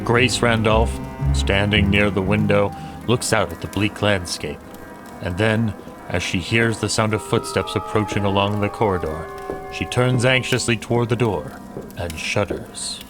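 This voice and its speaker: A man playing an earnest old-time radio announcer, narrating scripted drama in a formal, measured, dramatic way. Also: fairly low pitch, natural voice. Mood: somber. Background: music.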